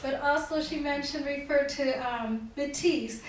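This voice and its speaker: An older woman with a high voice hosts a club meeting, chatting informally and laughing now and then. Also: measured pace, distant mic, a little hiss or hum. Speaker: meeting host. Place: meeting hall. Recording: echoey hall, voice off-mic.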